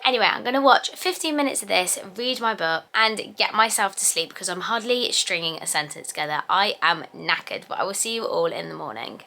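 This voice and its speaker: A young woman, slightly croaky, chatting upbeat and directly to viewers in a day-in-the-life vlog. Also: mid-range voice, lively intonation, fairly quick, few pauses, sharp tone, slight background noise. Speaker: vlogger and medical student.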